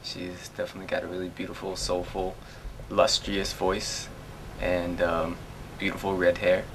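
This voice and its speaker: A man's voice: deep voice, monotone, normal speed, background noise. A young man in a recording studio, talking enthusiastically and casually. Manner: enthusiastic, laughing. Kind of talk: casual praise to camera.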